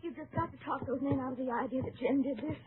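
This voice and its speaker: A woman's voice: high voice, fast talker, lightly acted. An actress playing a desperate young woman pleading in an old-time radio western. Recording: muffled, noisy vintage radio recording.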